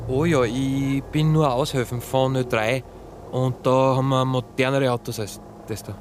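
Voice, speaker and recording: An actor playing a hesitant, uneasy accomplice with an Austrian accent, speaking haltingly in a radio drama. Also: male voice, mid-range voice, fairly even intonation, slight background noise, lightly acted. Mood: awkward bluffing. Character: hesitant male accomplice bluffing his way through. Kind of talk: radio drama acting, colloquial.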